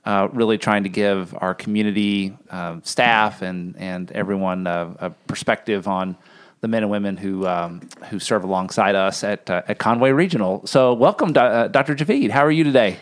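A middle-aged man hosting a studio interview as a health system executive, friendly and halting with many fillers. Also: fairly low pitch, clear, resonant tone. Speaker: host, health system CEO. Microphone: studio mic.

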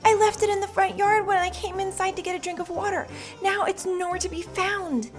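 A young girl's high, frantic voice cries out in a storybook reading, put on by a woman narrator. The pitch jumps well above the reader's own. Her words come fast and urgent. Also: steady tone, soft background music. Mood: upset. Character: young girl upset over stolen bike.

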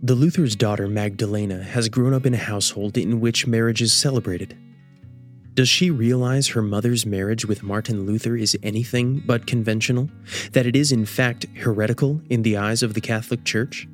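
A man's voice: mid-range voice, fairly even intonation, moderate pace. A man reading scripted radio drama narration in a storytelling manner. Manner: storytelling, posing rhetorical questions. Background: soft plucked guitar music.

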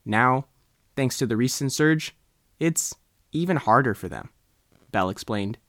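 A man's voice: mid-range voice, expressive, measured pace, a bit bright, no room sound, clean studio sound. An American man reading a scripted news article aloud as a reporter.

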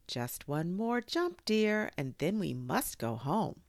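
Woman as a polite storybook kangaroo mum, fussing, prim, motherly, unhurried, animated pitch. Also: no room sound, clean studio sound, exaggerated voice. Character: fussy, polite mother kangaroo, distracted and cool.

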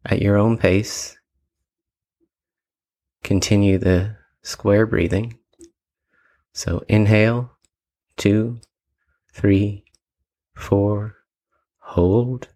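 A man leading a guided meditation with calm, measured instructions and long pauses between phrases. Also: fairly low pitch.

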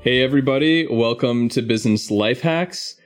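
A man's voice: medium pitch, moderate pace, soft background music. A man hosting a podcast, explaining things in a structured, conversational way. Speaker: podcast host.

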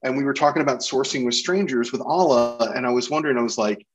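A man's voice: medium pitch, fast talker, lo-fi sound, slight background noise. A man hosting a podcast interview in a casual, discursive and enthusiastic way.

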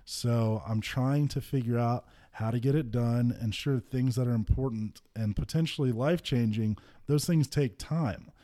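A man's voice: medium pitch, moderate pace, hardly any pauses, warm tone. A man in his twenties, a football player co-hosting a podcast, chatting casually and telling stories with easy laughter. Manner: laid-back, storytelling, laughs easily. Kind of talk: casual chat.

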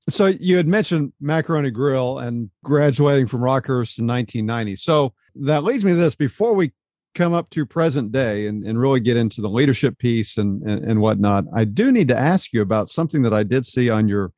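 A man hosting an interview in a mid-pitched voice, recorded close and dry. He asks friendly questions with a light teasing edge, working from details he has prepared. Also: darkish tone, dry recording. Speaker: podcast host.

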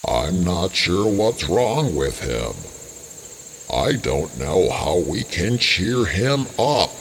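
Very slow, deep, gentle giant tree. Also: male voice, big pitch swings, slight background noise, heavily acted. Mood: gentle concern. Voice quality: very low. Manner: slow, drawn-out, kindly.

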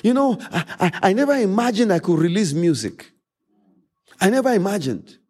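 A man with a Nigerian accent, a native Yoruba speaker, preaching a sermon as a pastor, emphatic, repeating phrases and pausing dramatically. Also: sits high, big pitch swings, a little mellow, slightly rough.

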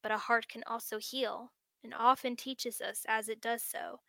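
A woman reading the lines of a gentle middle-aged father in a bedtime story, in a soft, tender tone. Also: fairly high pitch, level tone, moderate pace, gravelly, no room sound.

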